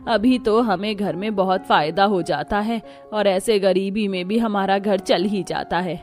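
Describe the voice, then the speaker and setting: A woman's voice: mid-range voice, measured pace, a little mellow, soft background music, natural voice. A woman voicing an older, concerned mother-in-law in a cartoon family story, emphatic and motherly.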